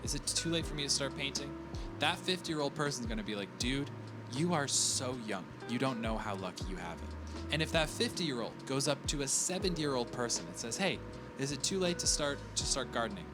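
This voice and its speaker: A man's voice: medium pitch, expressive, brisk. Earnest man in his thirties, music behind. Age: 30s. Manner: earnest and encouraging, acts out quoted voices. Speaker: digital artist sharing advice. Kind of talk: motivational talk to viewers. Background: clear music underneath.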